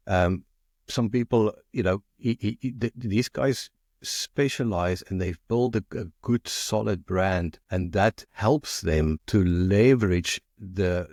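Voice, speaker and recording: A man's voice: low-pitched, darkish tone, no room sound, studio quality. A man from South Africa with a South African accent, a marketing agency founder talking casually as an interview guest, often repeating and restarting words as he thinks aloud.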